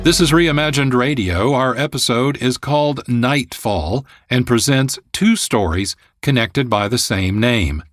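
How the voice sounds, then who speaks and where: A man presenting a radio show as host from a script, measured and articulate. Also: mid-range voice, soft background music. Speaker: producer and host.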